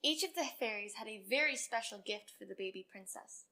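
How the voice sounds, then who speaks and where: A woman storyteller reading a children's storybook aloud with expressive narration. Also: medium pitch, fairly quick.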